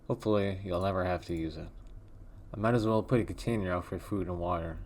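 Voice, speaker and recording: A man reading a text horror game aloud in a hushed, measured way with a wondering, uneasy tone. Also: sits low, a little hiss or hum. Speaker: let's play host.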